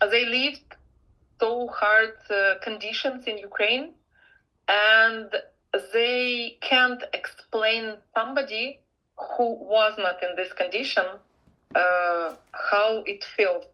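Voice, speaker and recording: Slow, higher-voiced woman. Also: fairly even intonation. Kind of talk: halting interview answer, non-native English. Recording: dull lo-fi sound.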